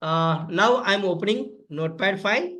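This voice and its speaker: A man's voice: high voice, lively intonation, normal speed, pushed and bright. A man with an Indian accent giving a step-by-step coding lesson as an instructor, in an instructional, repetitive way. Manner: instructional, repetitive phrasing, addresses listeners as friends. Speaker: tutorial instructor.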